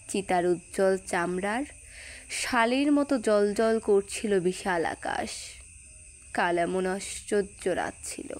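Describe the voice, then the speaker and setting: A woman performing a dramatic poetry recitation, delivering it phrase by phrase in a measured, expressive manner. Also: mid-range voice.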